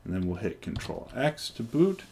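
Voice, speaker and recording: A man in his forties on a headset mic, casually talking through a software install with many ums and restarts. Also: mid-range voice, sing-song, moderate pace, a little hiss or hum. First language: English. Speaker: developer presenting hardware. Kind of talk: casual screen-recorded tech demo. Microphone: headset microphone.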